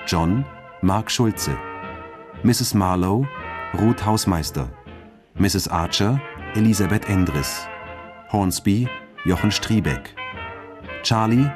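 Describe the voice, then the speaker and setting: Slow, formal male radio announcer. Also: on the low side. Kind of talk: radio drama credits announcement. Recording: studio announcement over clear brass music.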